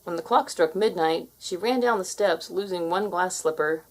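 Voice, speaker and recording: Woman, children's storybook narrator, scripted read-aloud, slightly low pitch. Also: moderate pace, rough recording.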